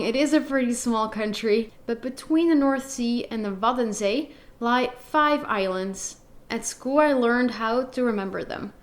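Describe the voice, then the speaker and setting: Cheerful woman, brightly pitched. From Netherlands. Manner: cheerful, playful, chatty. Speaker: geography vlogger. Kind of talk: upbeat video narration. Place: living room, seated on a sofa. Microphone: handheld recorder near camera.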